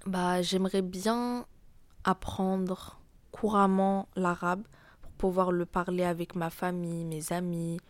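A woman's voice: lowish voice, flat delivery, no room sound. Hesitant French teenage girl, dry sound. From France. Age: teens. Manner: hesitant, many pauses with "euh". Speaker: interviewee. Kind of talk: casual interview answers.

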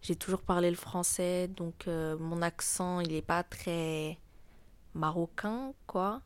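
A teenage girl with a French accent answers questions in a low voice, pausing often with small hesitations. The recording is dry and close. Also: clean tone, dry recording. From France. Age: teens. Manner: hesitant, many pauses with "euh". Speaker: interviewee. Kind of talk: casual interview answers.

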